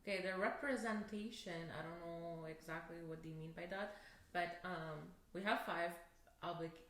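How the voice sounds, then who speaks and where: Young woman, podcast co-host, low pitch, laughs easily, filler-heavy, echoey room. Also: normal speed, a bit bright. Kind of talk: casual chat.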